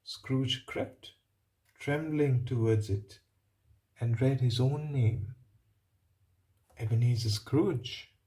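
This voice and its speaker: A man reading a picture book aloud to children, pausing to explain things and put questions to them. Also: mid-range voice, mellow. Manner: pauses to ask and explain to young listeners. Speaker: storybook reader. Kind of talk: read-aloud narration for children.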